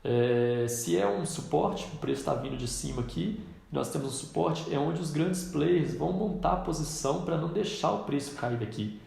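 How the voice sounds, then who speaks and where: A man's voice: fairly high pitch, lively intonation, normal speed, gravelly, a little mellow, heavy reverb. A man with a cold, teaching a trading lesson in a casual way while he points things out on a chart. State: congested with a cold. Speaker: trading instructor. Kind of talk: screen-recorded trading lesson.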